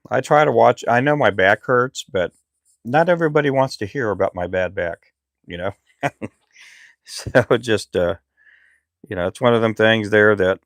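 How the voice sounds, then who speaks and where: Middle-aged man, low and gravelly, easygoing rambler, dry close recording. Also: no room sound. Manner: relaxed, rambling, frequent fillers. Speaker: lure maker live streamer. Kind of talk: casual live stream chat.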